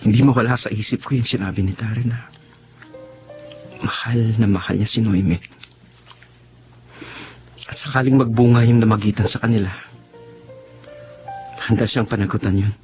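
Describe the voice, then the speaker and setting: A man's voice: lowish voice, steady tone, normal speed, long pauses, a little mellow, faint music behind, lightly acted. An actor playing an anguished young man secretly in love with his male friend, in melodramatic radio drama style. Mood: subdued. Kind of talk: Tagalog radio drama acting.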